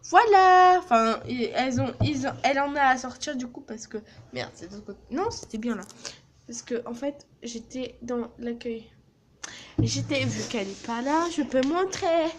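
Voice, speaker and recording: A woman's voice: high-pitched, lively intonation, moderate pace, a little hiss or hum. A teenage girl vlogging casually from a small office, joking and swearing as she walks through her work placement. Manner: crude, joking, swears a lot. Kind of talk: casual vlog.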